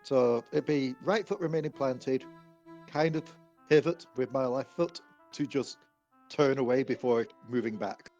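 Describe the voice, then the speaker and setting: A woman's voice: rumbling low, measured pace, warm tone, lo-fi sound. A woman talking casually as a player in a tabletop roleplaying game. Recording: music under the voice. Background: instrumental music.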